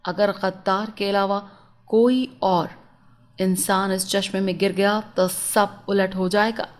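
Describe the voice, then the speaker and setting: A woman's voice: mid-range voice, fairly even intonation, slow, pitched below the performer's own voice, natural voice. A woman voicing a young captive prince in a storybook tale, earnest and pleading, speaking formally and respectfully. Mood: solemn, instructing. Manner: formal, respectful, urgent address.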